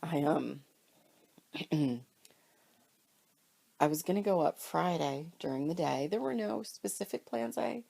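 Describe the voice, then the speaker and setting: A woman's voice: low voice, animated, unhurried, vocal fry, long pauses, dark tone, husky. A woman with a cold, congested and sniffly, chatting casually and rambling about her stitching purchases while filming at home. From Pennsylvania, US. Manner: chatty, rambling, self-deprecating. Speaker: cross stitch vlogger. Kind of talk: casual show-and-tell chat. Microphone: camera or phone filming fabrics, speaker off screen.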